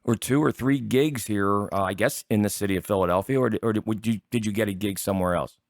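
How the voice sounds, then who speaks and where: An American man with a fairly low voice, recorded dry and close. He hosts a casual interview and wanders his way through wordy questions. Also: fast, no echo. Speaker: podcast host, interviewer.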